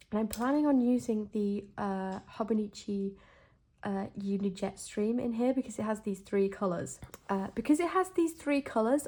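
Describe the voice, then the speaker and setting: A young woman vlogging while she sets up a planner, her voice croaky after the flu, chatty and enthusiastic with many fillers. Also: mid-range voice, a little mellow. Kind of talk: casual chat while setting up a planner.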